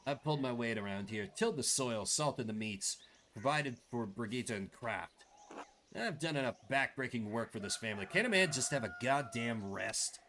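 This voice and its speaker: A man's voice: medium pitch, animated, moderate pace, bright, forceful tone. Man acting a surly, grumbling village man. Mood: defensive, irritable. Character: surly, defensive village man, a brawling layabout. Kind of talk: voiced game dialogue. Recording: clearly noisy background.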